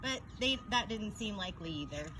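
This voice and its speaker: A woman reading a picture book aloud to children in an expressive storytelling style. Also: medium pitch, fairly quick. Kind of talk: animated children's read-aloud narration. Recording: noisy, picked up from a distance.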